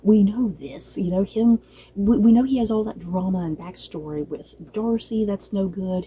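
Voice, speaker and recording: A woman's voice: mid-range voice, warm tone, a little hiss or hum, rough recording. A woman giving a casual, chatty review, speaking in a gushing, emphatic and informal way. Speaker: book and drama reviewer.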